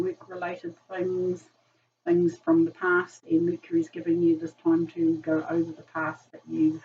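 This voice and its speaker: A woman in her sixties, croaky from a virus, giving a rambling casual tarot reading to camera with many ums. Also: low voice. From New Zealand. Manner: rambling, many ums and "sort of type thing". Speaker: tarot reader. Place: small room, indoors. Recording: dull distant camera mic with faint hiss.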